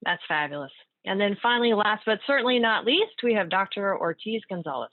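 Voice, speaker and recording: A woman's voice: medium pitch, animated, a little fast, dry recording. Woman interviewer on a tinny call line. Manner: friendly, encouraging, with fillers. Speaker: interviewer, neurologist. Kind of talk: moderating a panel interview. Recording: tinny phone-like line, dry.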